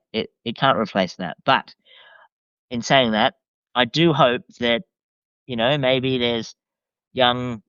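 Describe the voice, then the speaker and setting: Man, podcast guest. Smooth mid-pitched voice, hesitant and mild, on a slightly muffled recording. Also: a bit bright, no room sound. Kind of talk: casual conversational book review.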